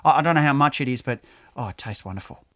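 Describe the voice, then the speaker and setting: A man playing a rambling, easily distracted food lover in an audio drama, halting and savouring his words. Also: medium pitch, animated, fairly bright tone, slight background noise, close to an everyday voice. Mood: blissfully distracted.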